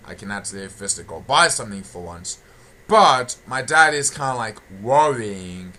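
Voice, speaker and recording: A young British man recording a casual audio log at home, circling back over the same points with plenty of fillers and false starts. His voice has a bright, tense edge, and a faint hiss sits under the recording. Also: mid-range voice. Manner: repetitive, meandering, many restarts and fillers. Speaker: channel host.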